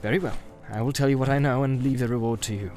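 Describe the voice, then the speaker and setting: A man voicing a grim, wary, secretive wandering ranger with a deliberate, cautioning and wry manner in an audiobook. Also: mid-range voice, steady tone, darkish tone, soft background music, natural voice. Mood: grim, guarded.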